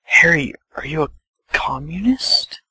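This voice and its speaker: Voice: mid-range voice, lively intonation, unhurried, pushed and bright, husky, no room sound, exaggerated voice, poor audio. A performer playing a prim, timid, doubtful teenage schoolgirl in an amateur comedic reading. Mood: doubtful, worried.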